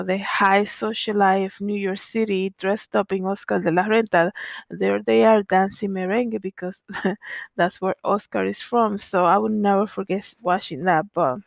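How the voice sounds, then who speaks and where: Woman from the Dominican Republic, young adult, gravelly fry, dry close sound, chatty storytelling. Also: mid-range voice, no echo. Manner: chatty, many "you know" fillers and restarts. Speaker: fashion designer and vlogger. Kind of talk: casual storytelling monologue.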